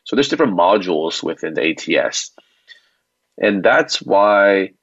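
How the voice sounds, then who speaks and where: Man, interview guest, very low pitch, conversational explainer, step-by-step, slightly lo-fi close dry sound. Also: fairly bright tone, breathy edge, no room sound. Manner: explains step by step, checking in with "right?". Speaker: expert guest, company founder. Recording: muffled, dry lo-fi audio.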